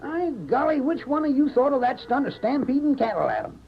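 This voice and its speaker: High, excitable rancher father. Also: a little fast, creaky, exaggerated voice. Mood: gleeful. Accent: rural Western American. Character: feisty, bossy rancher father defending his land. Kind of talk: 1940s radio western acting. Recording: dull vintage radio, music and crowd noise.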